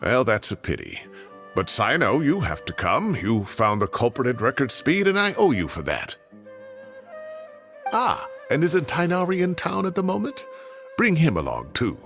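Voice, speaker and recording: Gravelly, wildly expressive old professor. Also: male voice, mid-range voice, normal speed, soft background music. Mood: genial, bossy. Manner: blustery, expressive. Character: proud, indignant older retired male professor, theatrical and fussy. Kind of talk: theatrical video game voice acting.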